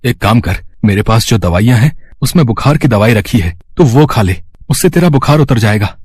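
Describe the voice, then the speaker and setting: A man's voice: medium pitch, lively intonation, fairly quick, a little mellow, husky, no room sound. A man voicing a worried, affectionate, poor father in a storybook tale, speaking in an anxious, plaintive manner. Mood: concerned.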